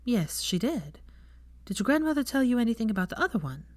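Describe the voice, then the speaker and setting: A woman voicing a protective, frank young woman in a storybook reading, earnest and gently firm with a child. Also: fairly high pitch, very animated, fairly quick, dry recording, clean studio sound, voice pushed up. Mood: frank conviction.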